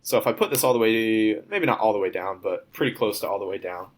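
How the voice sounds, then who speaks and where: A young man, a student, walking through a demo of his software project in an informal, step-by-step way. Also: lowish voice, animated, quick pace. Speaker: student presenting a class project.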